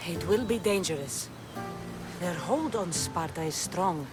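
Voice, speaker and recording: An actress playing a bold, defiant young Spartan warrior daughter in a video game, speaking in a direct, determined way. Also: female voice, lowish voice, expressive, measured pace, over music. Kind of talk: dramatic video game acting.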